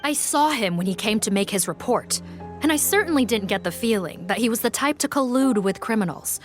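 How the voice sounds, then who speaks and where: An actress playing a calm, composed officer in charge in a video game, speaking with quiet authority. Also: female voice, on the high side, brisk, soft background music, close to an everyday voice.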